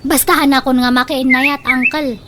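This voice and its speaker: A woman's voice: sits high, fairly even intonation, moderate pace, background noise, natural voice. A woman playing a heartbroken, stubborn young single woman, plaintive and firm as she refuses suitors in a radio drama. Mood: resolute, heartbroken.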